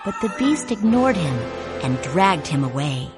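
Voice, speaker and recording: Slow, expressive woman reading a storybook. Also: on the low side, over loud music. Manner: clear, measured, expressive. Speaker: storybook narrator. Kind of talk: slow read-along storytelling.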